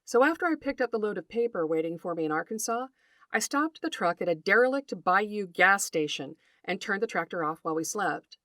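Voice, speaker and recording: A middle-aged woman hosting a podcast, reading a scripted narration in an articulate, deliberate storytelling way and speaking directly to her listeners. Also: medium pitch, animated, bright, forceful tone, dry recording. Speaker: podcast host, writer and folklorist.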